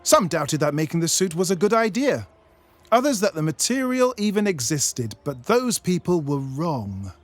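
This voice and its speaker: High-voiced, animated male storyteller. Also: normal speed, soft background music. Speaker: narrator. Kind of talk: expressive satirical storybook narration.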